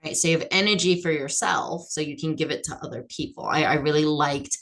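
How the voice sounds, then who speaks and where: A woman's voice: low voice, fairly quick, a bit bright. A woman hosting a casual interview chat, friendly and chatty, with plenty of fillers as she asks questions. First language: not French. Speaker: host.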